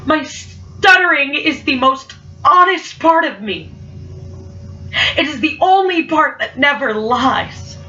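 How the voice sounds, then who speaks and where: A woman's voice: sits high, expressive, slow, bright, forceful tone, soft background music. A young woman performing spoken word poetry, speaking with a stutter and an emphatic, rhythmic delivery. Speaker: poet. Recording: muffled, from far off.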